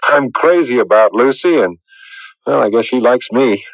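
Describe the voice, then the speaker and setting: Young farmer for radio play: easygoing, folksy, lively mid-range voice, dull vintage sound. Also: male voice, medium pitch, normal speed, gravelly, no room sound. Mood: bashful, happy. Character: easygoing, modest young farmer, plain-spoken. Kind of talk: radio drama acting. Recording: dull, dry old radio recording.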